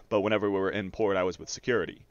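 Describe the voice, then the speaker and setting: Low-voiced man telling casual stories, slightly dull audio. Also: big pitch swings, fast talker, vocal fry, no room sound. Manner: rambling, profane, often chuckles while talking. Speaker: streamer telling personal stories while gaming. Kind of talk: casual anecdotal storytelling over gameplay.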